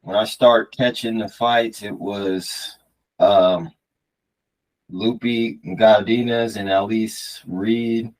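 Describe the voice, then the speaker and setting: Low-voiced man chatting as podcast co-host. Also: fairly even intonation, drawn-out words, rough recording. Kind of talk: casual chat.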